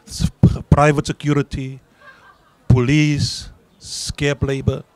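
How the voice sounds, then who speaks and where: A man's voice: a little high, little pitch movement, slow, dry recording. A middle-aged South African man with an Afrikaans accent, a union leader answering interview questions earnestly and repeating words for emphasis, speaking into a handheld mic outdoors. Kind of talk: interview answers at a public event. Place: outdoor garden event.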